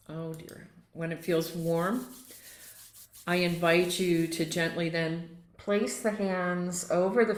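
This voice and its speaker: A woman leading a guided relaxation as a yoga nidra teacher, gently and without hurry, inviting listeners along. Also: fairly low pitch. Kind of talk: guided meditation and relaxation instruction. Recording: roomy, echoing sound.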